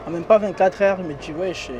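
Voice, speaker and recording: A man's voice: high-pitched, fast talker, background noise. A young man with a foreign accent who did not grow up speaking French, talking casually in slang in an interview inside a limousine. He repeats phrases and laughs often.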